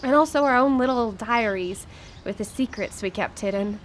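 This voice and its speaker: A woman's voice: on the high side, moderate pace, natural voice. A woman acting a grieving, short-tempered widow in a live radio drama, speaking with emotional urgency. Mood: wistful. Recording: live radio broadcast with background noise.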